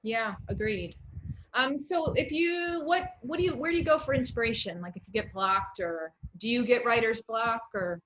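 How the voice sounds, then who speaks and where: An American woman with a bright, crisp-edged tone hosts a casual literary chat. She is encouraging and works through her thoughts aloud as she talks. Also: on the high side, moderate pace, low-quality recording. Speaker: interviewer, host, poet.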